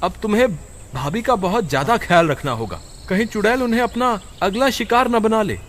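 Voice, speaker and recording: A man voicing a troubled village man, a sympathetic and concerned friend, in an animated horror story. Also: sits high, fairly quick, slight background noise. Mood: sad, concerned.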